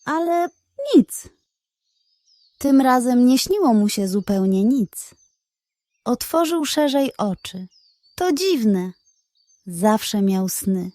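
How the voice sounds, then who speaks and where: A woman storyteller narrating a scripted children's bedtime tale in a gentle, expressive manner. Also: a little high, slow, pauses often, no echo.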